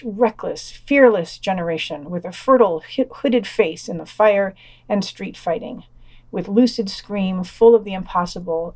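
Woman reading podcast news, medium pitch. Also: a little mellow.

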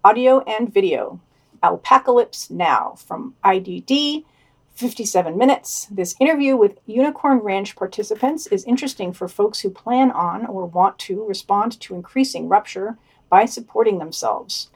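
A woman's voice: mid-range voice, normal speed, husky. A woman co-hosting a podcast, reading out a scripted news digest with commentary.

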